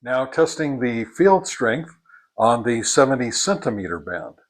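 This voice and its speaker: An older American man giving a casual, unhurried product review, explaining things step by step. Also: medium pitch, sing-song. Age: older, 60s or above.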